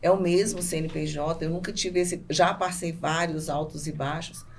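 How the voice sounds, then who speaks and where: A middle-aged woman with a Brazilian Portuguese accent answers interview questions conversationally, pausing and restarting as she searches for words. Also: sits low, fairly even intonation. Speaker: podcast guest, business owner. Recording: clearly noisy background ambience.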